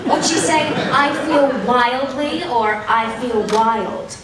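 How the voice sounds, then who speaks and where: A young woman reads in a prim, scolding tone with a high, bright voice. Her crisp delivery carries from a distant mic. A heavy hall echo and room hum surround her. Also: slow, over a noisy crowd. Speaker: stage performer reading letters. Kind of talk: dramatic reading of letters on stage. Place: large event studio stage with audience. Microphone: stand mic, recorded from far camera.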